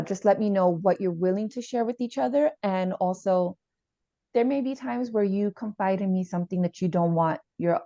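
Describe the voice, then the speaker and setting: Woman acting as female therapist, mellow, low-pitched, calm, reassuring, hesitant, echoey room. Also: lightly acted. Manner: reassuring, hesitant, repeats gently. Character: calm, supportive, gentle female family therapist. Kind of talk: improvised role-play of a therapy session. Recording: echoey room, slightly dull sound.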